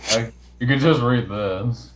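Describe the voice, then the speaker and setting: A man's voice: mid-range voice, unhurried, noisy background. A man hosting a casual online voice chat, talking in an informal, matter-of-fact way. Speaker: host of the recorded chat. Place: online voice call.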